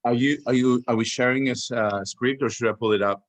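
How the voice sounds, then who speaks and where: A man's voice: medium pitch, steady tone, brisk. A man talking casually on a video call, informal and half muttering to himself. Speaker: actor. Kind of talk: casual chat.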